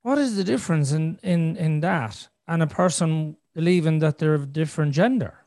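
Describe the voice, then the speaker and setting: Guy on a podcast, earnest, thinks out loud, slightly high voice, dry close sound. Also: moderate pace, no echo. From Ireland. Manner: earnest, thinking aloud with restarts and "you know". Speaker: podcast host. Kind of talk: casual conversational podcast chat.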